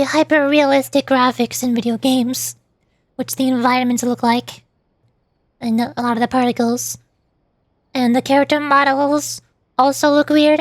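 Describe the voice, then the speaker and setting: A woman's voice: high-pitched, no echo. A woman streamer chatting casually and ramblingly about games, often repeating words as she goes. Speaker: streamer and VTuber.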